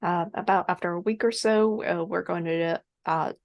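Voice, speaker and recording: A woman playing a tabletop roleplaying game, improvising casually in a halting, hesitant way. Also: fairly low pitch, steady tone, dull audio.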